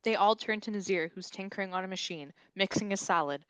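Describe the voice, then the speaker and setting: A woman's voice: mid-range voice, quick pace, a bit bright, clear, resonant tone, dry recording, rough recording. Woman reading stage directions, very dry sound. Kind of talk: scripted narration at a comedic table read.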